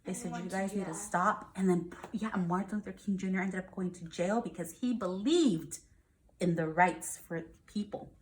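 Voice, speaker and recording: A woman's voice: fairly low pitch, moderate pace, darkish tone, poor audio. An American woman, a first grade teacher, reads aloud to young children and explains things simply, checking in with questions.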